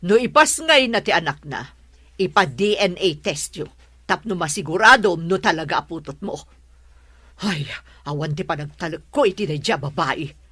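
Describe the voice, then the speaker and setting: A suspicious mother-in-law in a radio drama. Her lowish voice is sharp and swings widely with scorn. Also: animated, normal speed, slightly rough, dry recording. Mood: scornful. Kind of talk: Ilocano radio drama acting.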